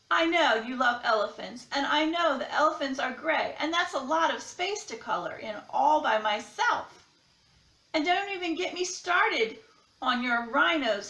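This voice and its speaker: A woman's voice: high-pitched, pushed and bright, exaggerated voice. A woman voicing an exhausted gray crayon in a children's picture book, pleading with emphasis. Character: exhausted, big-animal-weary gray crayon. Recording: roomy, echoing sound.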